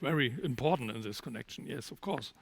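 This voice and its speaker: A man's voice: medium pitch, animated, fast, gravelly, husky. A man from Germany with a German accent, answering as a panelist in a discussion.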